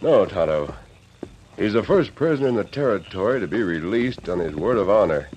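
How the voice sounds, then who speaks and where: An actor playing a noble, commanding cowboy lawman in an old Western radio drama, calm and resolute. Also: lowish voice, a little fast, breathy edge. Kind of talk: 1940s-1950s Western radio drama acting. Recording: noisy, dull old radio recording.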